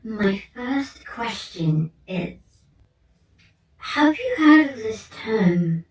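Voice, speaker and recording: A woman playing a gentle, curious alien researcher in an ASMR roleplay, speaking softly and soothingly and often slipping into a whisper. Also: fairly high pitch, sing-song, extremely slow, full character voice. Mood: gently curious. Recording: roomy echo, distant mic, faint noise.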